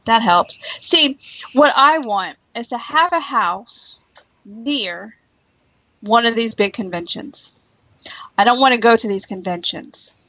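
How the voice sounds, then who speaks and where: A woman's voice: fairly high pitch, lively intonation, slow, sharp tone, no room sound, rough recording. A woman in casual conversation on a talk show, giving her opinions in an informal, emphatic way.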